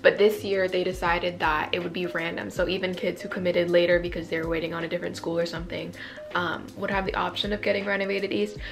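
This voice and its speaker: A young woman from California chatting casually in a vlog, excitable and full of "like", her voice a bit raspy. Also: lowish voice, fast talker, over music. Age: young adult. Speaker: incoming college student vlogger.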